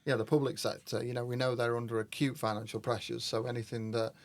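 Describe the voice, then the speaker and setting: A man's voice: mid-range voice, little pitch movement, fast. A man hosting an interview for a housing association, affirming his guest and talking loosely with frequent repeats. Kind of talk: conversational interview.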